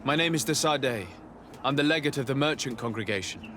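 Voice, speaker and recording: An actor playing a polite, inquisitive diplomat in a video game, with a formal and composed manner. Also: male voice, mid-range voice, a little fast, bright, forceful tone, a little hiss or hum, natural voice. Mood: polite, curious. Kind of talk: video game dialogue acting.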